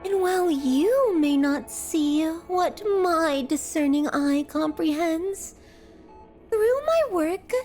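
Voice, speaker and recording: A grandiose, obsessive female sculptor and captor in an ASMR drama. Her voice is very high and she draws out her florid words extremely slowly, doting and menacing at once. Music plays behind her. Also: animated, darkish tone, exaggerated voice. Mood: proud, coaxing. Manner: florid, pompous, drawn-out sweet menace. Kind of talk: ASMR roleplay, theatrical monologue.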